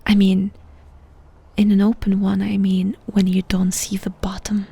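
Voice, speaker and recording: A woman doing a soft-spoken ASMR roleplay, asking questions in an intimate, unhurried whisper. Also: medium pitch, monotone, vocal fry, breathy edge.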